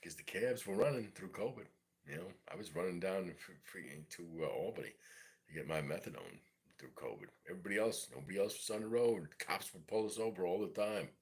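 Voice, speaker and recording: A man's voice: sits low, animated, a little fast, creaky. A man in his forties with a Brooklyn New York accent, rambling casually and profanely on a livestream, full of "you know". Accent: New York City. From East New York, Brooklyn. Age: 40s. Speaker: livestream host. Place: home in Mississippi.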